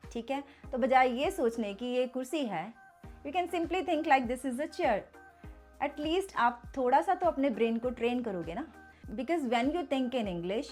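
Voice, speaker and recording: Brief: female language teacher, fairly high voice, patient and upbeat, soft music underneath. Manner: patient, encouraging, rhetorical questions. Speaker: English teacher. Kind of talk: casual explanatory lesson, mixing Hindi and English.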